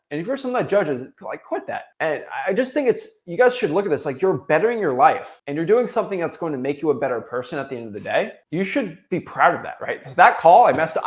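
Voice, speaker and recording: Animated, higher-voiced young American man. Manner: emphatic, repetitive, slangy and informal. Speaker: online business coach. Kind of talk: unscripted motivational talk.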